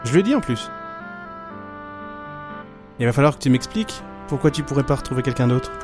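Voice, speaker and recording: An actor playing a caring, insistent high-school boy, a loyal friend, in an amateur audio drama. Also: animated, fairly quick, music in the background, close to an everyday voice. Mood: insistent, encouraging.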